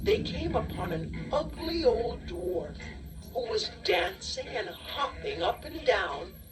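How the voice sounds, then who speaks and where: A woman narrator tells a children's story at a slow, deliberate pace with animated pitch. Music plays behind her, and the voice sits a little away from the mic. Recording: distant cassette audio with music.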